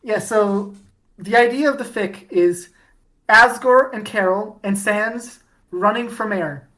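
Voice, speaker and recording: A woman's voice: mid-range voice, slow, a bit bright, poor audio. A woman co-hosting a fan podcast, talking casually with lots of restarts and fillers as she retells fandom history. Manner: rambling, many restarts and fillers, laughs often. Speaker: podcast co-host.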